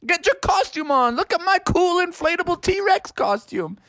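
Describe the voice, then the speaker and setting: Man putting on a jolly, high-pitched frog dad. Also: sing-song, moderate pace, bright, forceful tone, full character voice. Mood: jolly, triumphant. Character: jolly showy frog dad in inflatable dinosaur costume.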